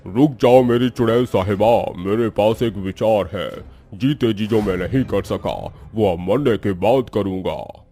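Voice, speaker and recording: An actor playing a scheming, gloomy undead ghost husband in a Hindi horror cartoon. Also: male voice, on the low side, unhurried, few pauses, dark tone.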